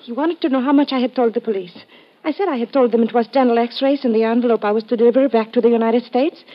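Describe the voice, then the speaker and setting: A woman's voice: fairly high pitch, fast, close to an everyday voice. An actress playing an earnest young Portuguese woman in an old radio drama, with careful foreign phrasing. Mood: shaken, reporting. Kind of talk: 1950s radio drama acting. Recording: muffled old radio recording.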